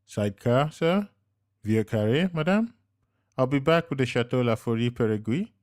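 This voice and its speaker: A man's voice: mid-range voice, expressive, normal speed, darkish tone, no room sound, studio quality, voice pushed up, lightly acted. A man voicing a polite, attentive restaurant waitress in a narrated story.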